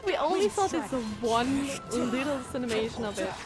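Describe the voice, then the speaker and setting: A woman's voice: high voice, expressive, normal speed. A woman streaming a video game with casual, emotional commentary and exclamatory reactions. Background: game music.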